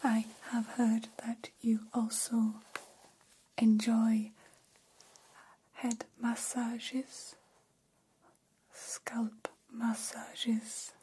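A curious, gentle female alien played by a woman murmurs in a soft, breathy whisper for ASMR. The pace is extremely slow and the tone stays even and mellow. Phrasing is formal and careful, as if studying humans kindly. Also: on the high side, a little hiss or hum, rough recording. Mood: tender, soothing. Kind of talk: ASMR personal attention roleplay.

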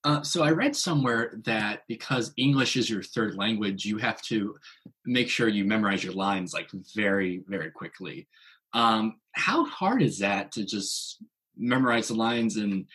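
A man's voice: fairly low pitch, animated, moderate pace, a bit bright. A man interviewing a guest, attentive and giving short replies while the guest speaks. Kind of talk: interview conversation.

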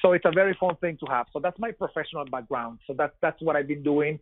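A man's voice: on the high side, fast talker, no echo. A Venezuelan man in his forties with a Venezuelan Spanish accent, a guest chatting casually in an interview, often repeating words and filling with "you know". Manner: repeats words, many "you know" fillers, laughs easily. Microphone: over a web call. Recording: dry, phone-like web call.

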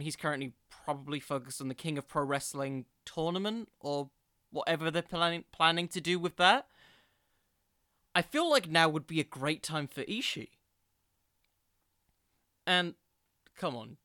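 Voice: medium pitch, sharp tone, no echo, clean studio sound. A podcast host talking solo in a casual, informal commentary style with a self-deprecating manner.

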